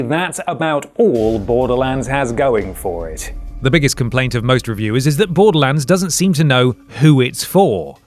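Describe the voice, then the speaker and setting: Dry, sarcastic man narrating. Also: medium pitch. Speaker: video essay narrator. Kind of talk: scripted narration.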